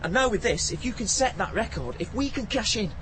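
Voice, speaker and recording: An actor playing an anxious, earnest working-class dad with a colloquial Northern English accent in a radio play. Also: male voice, high-pitched, animated, brisk. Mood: pleading. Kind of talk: naturalistic radio play acting. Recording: outdoor scene with faint traffic ambience noise.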